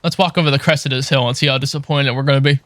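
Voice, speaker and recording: A man's voice: mid-range voice, fairly even intonation, rapid-fire, a bit bright, no room sound. A man streaming a game with casual, wry, joking commentary.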